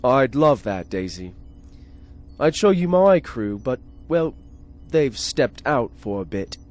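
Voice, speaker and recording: An actor playing a polite, formal shipboard artificial intelligence with a gleeful murderous streak, in a 1950s-style space radio serial. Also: male voice, medium pitch, sing-song, slow pace, darkish tone, faint music behind. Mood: courtly, flirtatious. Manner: formal, courteous, precise wording. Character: polite, formal male ship's computer with murderous glee.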